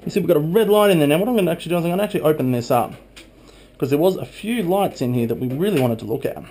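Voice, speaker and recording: A man's voice: a little high, lively intonation, racing. A man in his twenties giving a casual, chatty hands-on narration as a video blogger, recorded on a handheld camera in a small room at home. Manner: informal, chatty. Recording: faint background noise.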